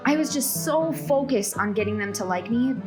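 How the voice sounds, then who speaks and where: A woman's voice: fairly high pitch, animated, moderate pace, music in the background, lightly acted. A woman playing a frazzled, self-mocking single woman in a radio play, speaking with wry exasperation. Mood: rueful, wry.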